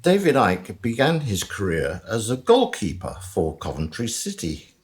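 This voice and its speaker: A man's voice: fairly low pitch, huge pitch swings, a little slow. A man giving scripted narration for a radio drama in a wry storytelling manner.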